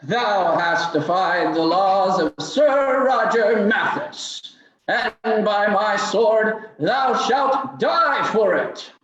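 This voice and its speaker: A man's voice: high-pitched, lively intonation, drawn-out words, a bit bright, heavily acted. A man playing the menacing ghost of an old English knight in a radio drama, declaiming pompously in archaic speech. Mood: vengeful. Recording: echoing, slightly muffled sound.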